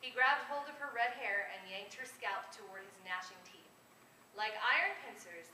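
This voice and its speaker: A woman author giving an expressive, theatrical live reading of comic horror prose. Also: a little high. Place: indoor convention reading room. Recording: echoey room from far off, background noise.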